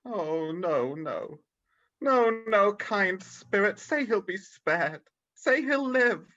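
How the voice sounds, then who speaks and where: A woman's voice: mid-range voice, big pitch swings, slow pace, a bit bright. A woman playing an anguished, pleading old miser in an amateur radio play, over muffled video-call audio.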